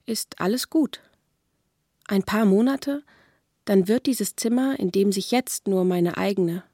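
Pensive gentlewoman, soft husky voice, close. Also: medium pitch, dry recording. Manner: introspective, hushed, restrained inner monologue. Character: quiet, pensive gentlewoman in her late twenties. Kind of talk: German radio drama acting.

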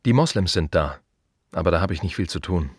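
An actor playing an aging, weary gravedigger who loves silence, musing in short, repeated fragments in a literary radio play monologue. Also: male voice, deep voice, expressive, a little fast, husky, dry recording, studio quality. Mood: quiet, matter-of-fact.

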